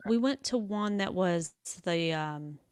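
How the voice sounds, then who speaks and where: Relaxed woman co-host, lowish dry voice. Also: takes it slowly, smooth, dry recording. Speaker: podcast co-host. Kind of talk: casual podcast chat.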